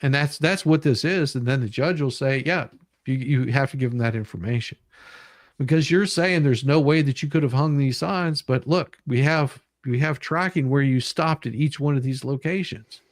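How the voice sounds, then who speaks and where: A man's voice: medium pitch, normal speed, dry recording, studio quality. A man hosting a livestream, reading legal documents aloud and explaining them casually, repeating himself for emphasis and occasionally swearing. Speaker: livestream host.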